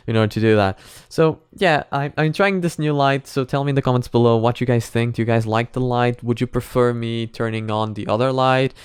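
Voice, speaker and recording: A man's voice: mid-range voice, clear, resonant tone, no echo, studio quality. A man casually talking to viewers as a reaction video host, in a rambling, repetitive way with frequent chuckles. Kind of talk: casual reaction commentary to camera.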